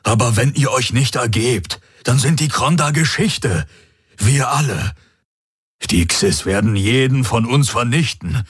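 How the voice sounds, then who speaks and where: A man voicing an earnest male fighter with a dark voice, imploring others to surrender in an audiobook. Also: medium pitch, animated, unhurried, slightly rough, dry recording, studio quality, pitched above the performer's own voice.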